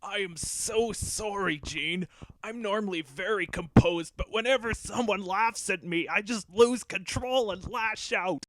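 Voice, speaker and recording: A man's voice: sits high, a little slow, a bit bright, dry recording, poor audio. A man voicing a lovesick, hot-tempered villain who gets awkward, in an amateur cartoon parody dub. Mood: apologetic. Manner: awkward, stammering when caught out.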